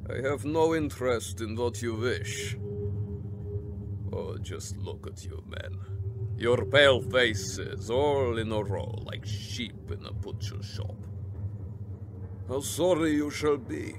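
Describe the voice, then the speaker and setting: Vampire count: slow, menacing, gloating, radio horror drama, noisy backdrop. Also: male voice, medium pitch, animated. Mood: gloating menace. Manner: slow, taunting, theatrical.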